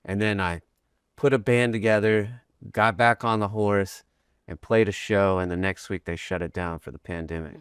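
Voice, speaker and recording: Low-voiced, rambling Texan man. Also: fairly even intonation, normal speed, clear, resonant tone, no echo, clean studio sound. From Texas, USA. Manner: rambling and self-deprecating, with many fillers and restarts. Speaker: guest musician. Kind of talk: casual interview chat.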